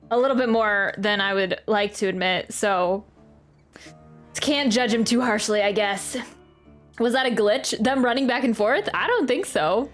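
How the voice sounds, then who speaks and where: A woman's voice: a little high, a bit bright. A woman giving casual, chatty live commentary while she plays a video game. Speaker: game streamer. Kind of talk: live gameplay reaction commentary; casual chat. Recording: game music behind the voice. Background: video game music.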